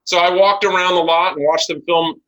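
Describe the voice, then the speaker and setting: A man's voice: high voice, steady tone, normal speed, a bit bright, highs cut off. An American man in his forties, from Chicago and Los Angeles, telling his story conversationally as an interview guest and business owner. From United States (Chicago and Los Angeles). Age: 40s.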